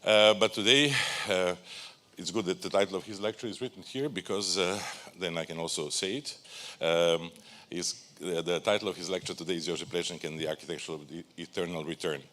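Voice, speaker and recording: Deep-voiced man, halting introduction. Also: steady tone, sharp tone. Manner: halting, searching for words. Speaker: moderator introducing the speaker. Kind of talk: spoken introduction at a symposium.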